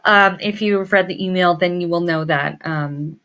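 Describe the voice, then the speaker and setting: A woman's voice: lowish voice, normal speed, fairly bright tone, highs cut off. A woman giving an informal tutorial walkthrough as an instructor, talking casually and thinking aloud as she goes. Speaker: floral designer and business course instructor.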